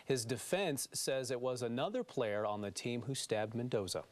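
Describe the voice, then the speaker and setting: A man's voice: medium pitch, moderate pace. Male American news anchor, mid-range voice, scripted morning bulletin delivery.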